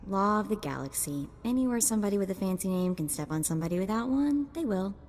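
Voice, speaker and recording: An actress playing a chatty, irreverent sidekick in a video game, casual and wry. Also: female voice, mid-range voice, lively intonation, fast, a little mellow. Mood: wry, amused.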